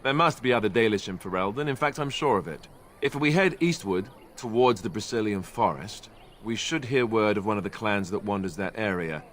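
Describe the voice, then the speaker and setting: An actor playing an earnest young warrior in a fantasy video game, conversational, wry and self-deprecating. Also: male voice, lowish voice, animated, fairly quick, a bit bright.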